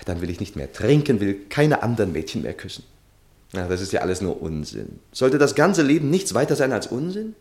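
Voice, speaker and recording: An actor playing a lovesick, impulsive young gentleman in a 1960s German radio play, speaking in a hesitant, sighing, impassioned way. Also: on the low side. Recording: 1960 radio drama, faint music.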